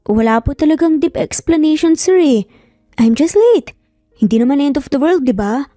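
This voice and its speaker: A woman's voice: sits high, expressive, moderate pace, warm tone, dry recording, studio quality, soft background music, pitched above the performer's own voice. A woman voicing a playful, cheeky young single mother who teases her boss with mock-dramatic pleading in a narrated story. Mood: flippant, teasing.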